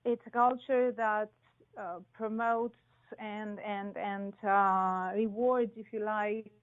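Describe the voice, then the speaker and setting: A woman from Greece, a guest in an interview, talking slowly and thoughtfully with many pauses and fillers. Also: mid-range voice, steady tone, muffled recording. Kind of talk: interview conversation.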